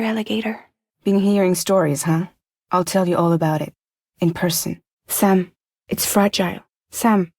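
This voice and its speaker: An actress playing a guarded, wry woman courier in a post-apocalyptic video game, with soft, breathy, measured and deadpan delivery. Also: on the low side, dry recording, clean studio sound. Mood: dry, businesslike. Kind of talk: cinematic video game acting.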